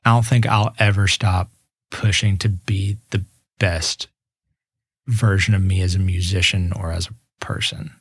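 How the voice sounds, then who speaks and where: A young man in his twenties talks about his path as a musician in an easygoing interview. His voice sits a little low and he speaks earnestly, often circling back to restart a thought. The sound is dry, with no room around it. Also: little pitch movement, measured pace, breathy edge, dry recording. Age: 20s. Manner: earnest, reflective, with many restarts. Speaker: guest musician. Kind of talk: casual podcast interview.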